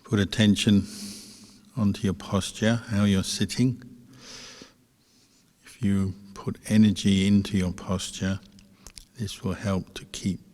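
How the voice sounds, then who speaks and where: A man, a Buddhist monk, guiding a meditation calmly and without hurry, with a husky voice and long pauses between short phrases. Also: on the low side. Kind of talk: guided meditation instruction. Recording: reverberant hall, long echo.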